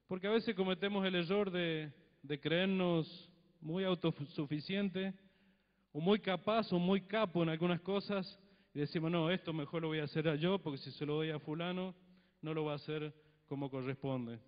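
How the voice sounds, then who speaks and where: Argentine preacher with a Cuyo accent. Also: male voice, fairly high pitch, normal speed. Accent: Cuyo Argentine Spanish. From Mendoza, Argentina. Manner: conversational preaching, tag questions, acts out little dialogues. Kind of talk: sermon. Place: church hall with congregation. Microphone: handheld mic. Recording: dull lo-fi sound, long hall reverb.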